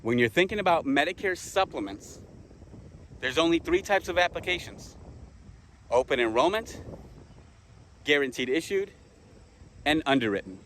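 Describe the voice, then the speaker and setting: A man with a slightly raised voice walks agents through terms in an unscripted lesson, circling back and correcting himself as he goes. He checks in often with quick questions. Faint crickets and outdoor hum sit behind him. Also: a little high, lively intonation, a bit bright, low-quality recording. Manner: repeats himself, self-corrects, rhetorical questions; drills terms with check-ins like okay. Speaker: insurance agent teaching other agents. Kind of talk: casual spoken lesson.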